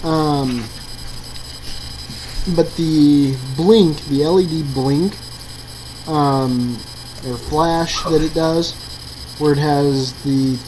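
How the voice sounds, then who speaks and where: A woman's voice: sits low, very slow, pauses often. A woman giving a casual, improvised walkthrough of a hobby project, hesitant and thinking aloud. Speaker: hobbyist maker showing an electronics project. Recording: clearly noisy with steady mains hum.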